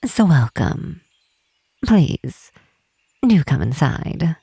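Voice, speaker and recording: A teasing half-elf tower mistress, acted by a woman in a fantasy ASMR roleplay. Her voice is dark and husky with a creaky edge, soft and close. She chooses formal, old-fashioned words and lets her curiosity show in an unhurried, playful manner. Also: sits low, sing-song, no room sound, heavily acted. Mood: curious, welcoming.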